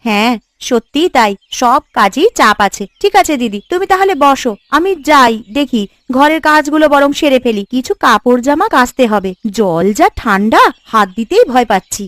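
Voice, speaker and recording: A woman voicing an expressive, fretful village housewife in a cartoon, with a gossipy, nagging way of talking. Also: high-pitched, moderate pace, dry recording. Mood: chatty. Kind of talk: cartoon voice acting.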